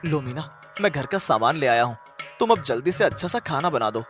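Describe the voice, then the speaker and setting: Man acting as poor village husband, kind, cautious, emphatic, animated intonation, cartoon story, faint music. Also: medium pitch, fairly quick, lightly acted. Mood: earnest. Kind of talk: Hindi cartoon story dialogue.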